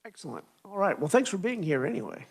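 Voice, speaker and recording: High-voiced man chairing a hearing. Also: sing-song, gravelly. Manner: brisk, informal procedural patter. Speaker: committee chair.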